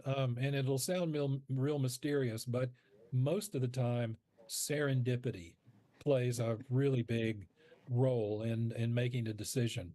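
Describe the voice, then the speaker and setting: A man being interviewed as a radio producer, explaining his work in measured phrases with halting pauses mid-sentence. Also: medium pitch, dark tone, dry recording.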